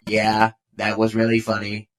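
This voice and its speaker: A man's voice: lowish voice, completely flat delivery, unhurried, natural voice. A man playing a skeptical, bookish student mage with a grudging manner in a game fan dub. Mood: puzzled, dry.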